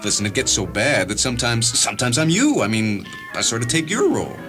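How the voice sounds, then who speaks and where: A man's voice: mid-range voice, sing-song, a little fast, a bit bright, soft background music, pitched above the performer's own voice. A man voicing an obsessive, overdramatic English stage performer, intense and theatrical, in a dramatized story reading. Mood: intense, confessional.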